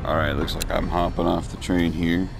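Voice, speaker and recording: Deep-voiced young man playing a horror game. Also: level tone, normal speed, vocal fry, over music. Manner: jittery, talks himself through scares, yelps high when startled. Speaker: let's play gamer.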